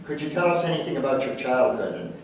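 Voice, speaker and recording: A man in the audience asking a question in a loud, earnest voice. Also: mid-range voice, fast. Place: large room with live audience. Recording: echoey hall, distant mic, faint noise.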